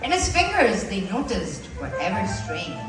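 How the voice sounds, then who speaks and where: A theatrical storybook narrator , played by a female student, reciting verse with an animated, swinging pitch. She is heard at a distance in a reverberant hall over clear music. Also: normal speed. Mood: dramatic storytelling. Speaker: student narrator of school play. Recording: echoey school hall from far, music behind.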